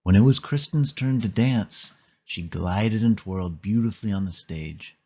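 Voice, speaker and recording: A man's voice: lowish voice, normal speed, dark tone, slightly rough, highs cut off. A man reading a scripted children's story aloud as a read-along narrator.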